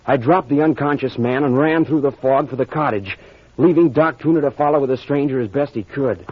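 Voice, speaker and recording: An actor playing an earnest, excitable young American man with a husky voice in an old-time radio drama. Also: mid-range voice, a little fast, a little hiss or hum, natural voice. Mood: grave, narrating. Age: young adult. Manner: earnest, intense. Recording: muffled old radio recording.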